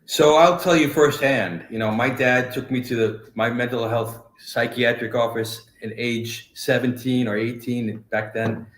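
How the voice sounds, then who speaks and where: A man's voice: medium pitch, steady tone, roomy sound, slight background noise, low-quality recording. A middle-aged man who grew up in New York, with a New York accent, talking earnestly and with feeling as an interview guest on a video call. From Bronx, New York. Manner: earnest, emotional, rushing phrases. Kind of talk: interview conversation.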